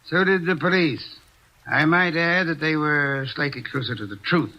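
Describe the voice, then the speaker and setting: An actor playing a haughty, pompous detective in a 1940s radio drama, dry and sardonic. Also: male voice, a little high, normal speed, lightly acted. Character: haughty pompous male detective, fussy and imperious. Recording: dull lo-fi old radio recording.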